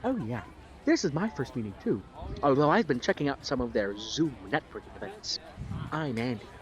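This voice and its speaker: A man's voice: mid-range voice, big pitch swings, moderate pace, faint music behind, close to an everyday voice. A man playing an eager, starry-eyed devotee of an organization, chatting earnestly and gushing in an audio drama. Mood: friendly, eager. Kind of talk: audio drama small talk.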